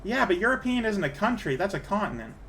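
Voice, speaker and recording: A chatty man talks casually while he plays a game, wandering from thought to thought and restarting sentences. His voice sits a bit high for a man. A faint hiss runs underneath. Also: sing-song, fast talker, a bit bright. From Canada. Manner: rambling, chatty, restarts a lot. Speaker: streamer. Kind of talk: casual chat while gaming.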